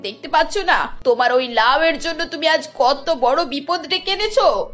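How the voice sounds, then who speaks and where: Female, narrator as preachy cartoon mother-in-law, admonishing, high voice, music underneath. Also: expressive, moderate pace, fairly bright tone. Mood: scolding. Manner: admonishing, lecturing. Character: scolding, preachy middle-aged mother-in-law. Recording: dull lo-fi sound.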